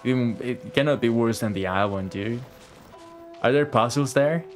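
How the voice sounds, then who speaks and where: A man's voice: medium pitch, normal speed. A man giving casual live game commentary as a streamer, informal and sweary, muttering to himself and to the game. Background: game music.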